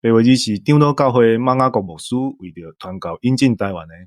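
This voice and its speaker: A man's voice: medium pitch, animated, a little fast, no echo, studio quality. A man with a Taiwanese accent hosting a podcast, explaining things to listeners. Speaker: podcast host.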